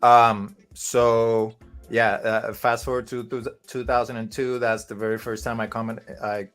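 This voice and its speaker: A man's voice: mid-range voice, flat delivery, unhurried, a bit bright, faint music behind. A man in his forties, from Puerto Rico, telling a casual story as a podcast guest, rambling with fillers and restarts.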